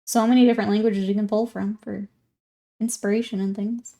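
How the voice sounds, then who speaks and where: A woman's voice: mid-range voice, little pitch movement, a little fast, warm tone. A young woman streaming live while painting miniatures, chatting casually and reading viewer comments aloud as she works. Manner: chatty and easygoing, thinking aloud while working.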